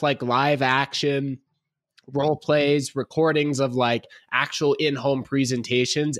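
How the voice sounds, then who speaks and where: A man in the middle pitch range hosts a casual chat, his voice a little rough and congested from being sick. He drawls in a relaxed way and keeps calling his co-host "man." The sound is dry and close, with no room around it. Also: no echo. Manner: laid-back, drawls, says "man" often. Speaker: podcast host. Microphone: remote call, each on own mic.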